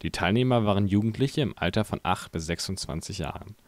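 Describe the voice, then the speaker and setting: A man's voice: fairly low pitch, brisk, no room sound. A man reading scripted narration as a news reporter.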